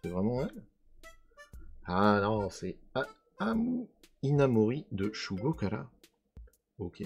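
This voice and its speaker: Hoarse man musing over trading cards. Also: fairly low pitch, huge pitch swings, slow pace, a little mellow, soft background music. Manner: musing, trails off hunting for names. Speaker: card unboxing video host. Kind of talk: casual unboxing commentary.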